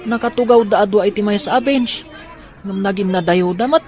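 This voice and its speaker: A woman's voice: mid-range voice, moderate pace, a little mellow, soft background music, lightly acted. A woman playing a worried but resolute, scheming young girl in a radio drama. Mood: observant. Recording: faint background noise and ambience.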